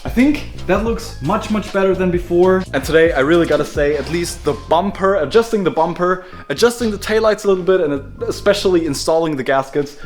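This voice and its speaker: A man's voice: high-pitched, animated, brisk, few pauses, soft background music. A man with a German accent, a native German speaker, chatting casually to camera as a car build vlogger who laughs at himself. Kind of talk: casual vlog narration. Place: car workshop.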